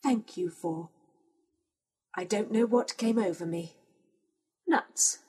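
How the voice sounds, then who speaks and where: Guy doing a shy lady robot, high and breathy, super formal and sorry about everything. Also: slow, a little mellow, heavily acted. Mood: timid. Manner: apologetic, formal. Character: nervous, timid female android.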